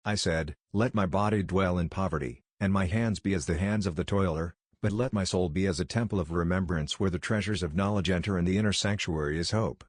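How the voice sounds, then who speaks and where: A man's voice: sits low, a little fast, few pauses, no room sound, studio quality. A man voicing a frail, ailing visionary scholar who speaks with fervent, solemn, old-fashioned phrasing.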